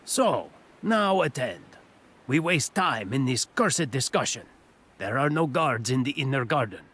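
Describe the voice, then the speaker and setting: An actor playing a cunning, boastful master thief in a fantasy audio drama, with a theatrical, self-assured delivery. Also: male voice, mid-range voice, very animated, measured pace, fairly bright tone, husky, heavily acted. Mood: scheming.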